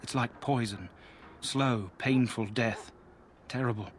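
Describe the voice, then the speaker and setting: A man's voice: mid-range voice, slow, a little hiss or hum, close to an everyday voice. Man playing a plain-spoken, wry camp soldier. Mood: matter-of-fact. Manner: matter-of-fact, folksy, wry. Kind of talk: video game dialogue.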